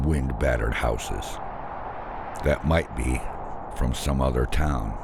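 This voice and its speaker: Man, extremely low voice, scripted horror narration, ominous and deliberate, noisy ambient background. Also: creaky.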